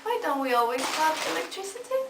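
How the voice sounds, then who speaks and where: A woman's voice: high voice, sing-song, fast talker. A woman voicing a curious young child talking to a father in an amateur acted skit. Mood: puzzled, curious. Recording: roomy, noisy, clicky amateur recording.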